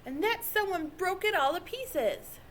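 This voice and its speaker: A woman voicing a squeaky, upset little baby bear in a children's storybook, exclaiming plaintively. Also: animated, unhurried, a little hiss or hum. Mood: tearful, upset.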